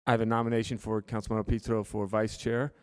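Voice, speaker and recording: A man chairing a city council meeting, running votes and nominations in a measured, procedural way. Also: on the low side, little pitch movement, a little mellow, clean tone.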